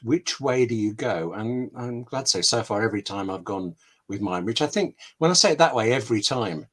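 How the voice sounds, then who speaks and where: A man's voice: on the low side, expressive, a little fast, a bit bright. A man answering questions as a scriptwriter guest in a conversational way, telling anecdotes and thinking aloud with asides and questions to himself. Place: home room with books, on a video call.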